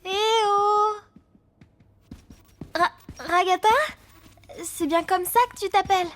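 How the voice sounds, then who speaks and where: A woman's voice: extremely high pitch, steady tone, takes it slowly, a bit bright, full character voice. A woman voicing a jittery, bewildered cartoon newcomer who talks in a hesitant, uneasy way. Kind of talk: cartoon dubbing.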